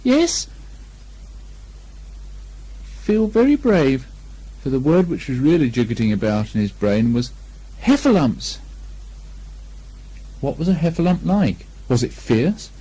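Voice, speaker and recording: A man reading a children's story aloud as an expressive narrator. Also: medium pitch, brisk, hardly any pauses, husky. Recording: lo-fi 1980 amateur recording.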